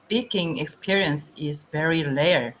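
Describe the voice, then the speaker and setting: A woman in her thirties from South Korea with a Korean accent, an English learner talking hesitantly on a video call with many pauses and fillers. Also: low-pitched, a bit bright, a little hiss or hum, poor audio. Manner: hesitant, halting with many ums. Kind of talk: casual online lesson chat.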